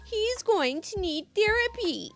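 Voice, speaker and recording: Entitled mother for a story reading, acted by a woman: very animated, indignant, exaggerated mash of Midwest and Brooklyn accents. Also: very high-pitched, faint music behind, voice pushed up, full character voice. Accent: mixed put-on American Midwest and Brooklyn. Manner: drawn-out, exaggerated, self-righteous.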